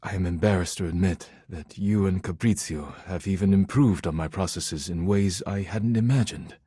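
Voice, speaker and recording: A solemn, earnest male video game character who loves poetry. His voice is low and heavily husky, recorded close and dry. He speaks formally and with measured pride, choosing each phrase with care. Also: warm tone, no room sound. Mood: earnest, reflective. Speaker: voice actor in a dating simulator game.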